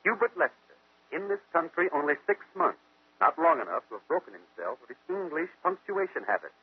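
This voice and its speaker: A man's voice: on the high side, big pitch swings, slightly rough. A man playing a brilliant, methodical American detective who explains deductions step by step in 1940s radio mystery style. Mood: confident, explanatory. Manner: deliberate, didactic, question-and-answer reasoning. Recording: muffled vintage radio recording, phone-like.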